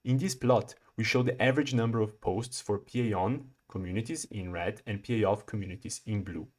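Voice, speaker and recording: A young man from Brazil presents his research in a prepared conference talk. His voice sits on the low side, and he explains things in a measured, step-by-step way, now and then restarting a word. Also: darkish tone. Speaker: PhD student presenting research.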